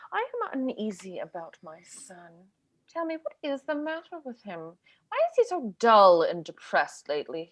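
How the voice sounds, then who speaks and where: A woman playing a vain, fretful middle-aged stage actress in a play reading on a video call, theatrical in manner. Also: medium pitch.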